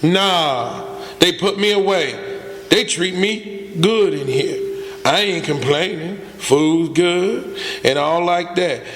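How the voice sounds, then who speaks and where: An actor playing a despairing, resigned young male prisoner with rough, uneducated speech in a radio drama. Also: sits high, takes it slowly, fairly bright tone. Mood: resigned, bitter. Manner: plain uneducated speech with double negatives. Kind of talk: old-time radio drama acting. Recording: echoey hall stage recording.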